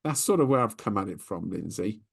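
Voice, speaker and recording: A man co-hosting a business podcast in relaxed chat, thinking aloud as he speaks. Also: lowish voice, a little fast, gravelly, breathy edge. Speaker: podcast co-host.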